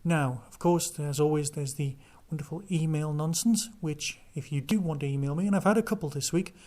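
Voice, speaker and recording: A man's voice: a little high, fairly quick, dark tone. A man hosting a podcast solo, chatting casually through listener news and reviews. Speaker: podcast host.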